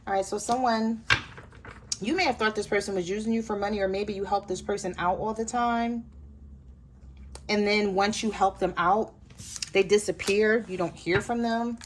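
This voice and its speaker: A woman with a hoarse voice giving a chatty tarot reading straight to her viewers. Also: medium pitch, moderate pace, slight background noise. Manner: relaxed, chatty, addresses viewers directly. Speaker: tarot reader.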